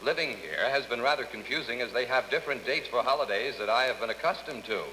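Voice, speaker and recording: A straight-faced radio announcer with a bright, forward voice. He reads silly letters with formal gravity in a comedy skit. The old recording has long reverb and faint noise. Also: medium pitch, quick pace. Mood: deadpan, formal. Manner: deadpan, formal. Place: radio studio.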